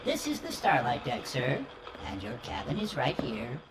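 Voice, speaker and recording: A woman's voice: extremely low pitch, huge pitch swings, normal speed, very noisy, heavily acted. A woman playing a polite, servile droid attendant aboard a passenger starship in an audio drama, speaking formally and obligingly.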